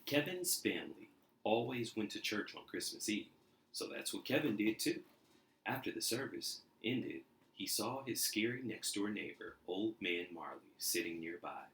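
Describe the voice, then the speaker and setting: Male reader for a children's storybook, deep low register, expressive narration, audibly echoey room. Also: moderate pace, a little hiss or hum. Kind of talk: expressive storybook read-aloud. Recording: roomy, echoey indoor sound.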